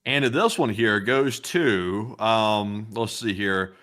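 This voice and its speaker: A man's voice: lowish voice, takes it slowly, a bit bright. A young man co-hosting a livestream, reading out donations and rambling with hesitant repeats. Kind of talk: casual livestream chat.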